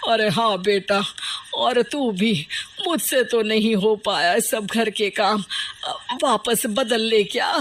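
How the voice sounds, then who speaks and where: Proud older mother-in-law, slow-spoken woman acting. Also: a little high, slight background noise. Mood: conceding. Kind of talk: Hindi cartoon family story dialogue.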